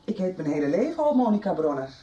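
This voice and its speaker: An actress in a 1990s radio play voicing a young woman who calmly explains her family background. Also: fairly low pitch, fast, dull audio, over loud music. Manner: calm, matter-of-fact explaining. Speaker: radio drama character. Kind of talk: 1990s radio drama acting. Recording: roomy echo.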